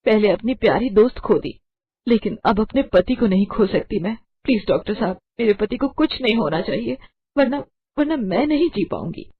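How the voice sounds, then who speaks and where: A woman's voice: mid-range voice, lively intonation, moderate pace, breathy edge, no echo, voice pushed up. A woman voicing a tearful young wife who begs a doctor to save her husband. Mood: pleading. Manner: desperate, sobbing pleas. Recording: tinny phone-like sound.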